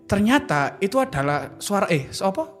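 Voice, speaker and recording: Seorang pria muda dengan logat Jawa Timur, membawakan cerita santai sebagai co-host podcast. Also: male voice, high-pitched, big pitch swings, normal speed, soft background music. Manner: chatty storytelling, mixing Indonesian and Javanese slang. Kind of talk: casual podcast banter.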